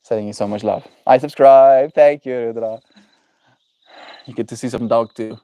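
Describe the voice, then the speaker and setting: A man's voice: mid-range voice, normal speed, mellow, dry recording, muffled recording. A young man chatting casually on his livestream, laid-back and encouraging, answering viewers and calling them bro.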